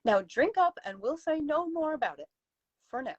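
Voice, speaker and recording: A woman's voice: high voice, lively intonation, normal speed, dry recording, muffled recording, pitched above the performer's own voice. A woman voicing a stern, outspoken Victorian lady's companion with a prim, emphatic, reproving manner in a story reading. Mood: firm, triumphant. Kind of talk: live dramatic story reading.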